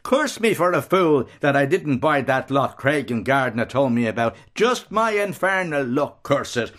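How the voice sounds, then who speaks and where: A man's voice: medium pitch, big pitch swings, measured pace, a bit bright, pitched above the performer's own voice. Man doing a brutal blustering brothel keeper. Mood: coarse bluster. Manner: bullying, coarse, gloating.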